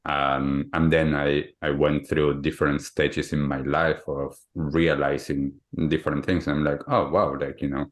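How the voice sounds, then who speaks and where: A man's voice: very deep voice, flat delivery, unhurried, hardly any pauses. A man from Argentina, hosting a podcast interview and often repeating words as he searches for phrasing. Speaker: podcast host.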